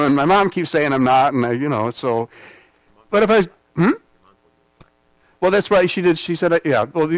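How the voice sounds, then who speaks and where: Chatty preacher with a dry sound. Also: male voice, mid-range voice, no room sound, poor audio. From United States. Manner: rambling, with frequent "all right?" check-ins; self-deprecating humor. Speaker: pastor. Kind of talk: conversational sermon.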